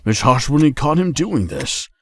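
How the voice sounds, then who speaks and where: A man narrating a fan-made visual novel in an expressive, scripted reading. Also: mid-range voice, moderate pace, slight background noise. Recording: narration over background music.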